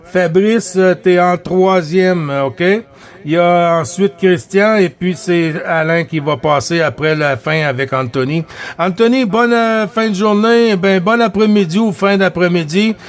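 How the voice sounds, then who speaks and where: A man's voice: high-pitched, a little slow. A man with a Quebec French accent chatting casually as he runs a CB radio round, repeating himself as he relays. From Quebec, Canada. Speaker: CB radio operator running the round.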